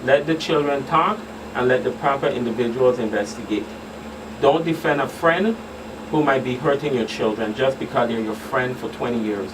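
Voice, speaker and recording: A man's voice: medium pitch, moderate pace. A man speaking as a guest expert in a radio talk show discussion, emphatic and repeating phrases for stress. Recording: distant mics, loud air-conditioning hum.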